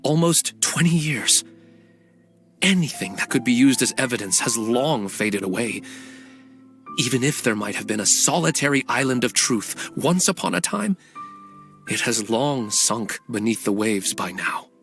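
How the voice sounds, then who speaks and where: An actor playing a brooding, calculating novelist bent on revenge, speaking deliberately and persuasively in a dramatic game cutscene. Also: male voice, mid-range voice, lively intonation, a bit bright. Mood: grave, bitter. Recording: faint music behind the voice.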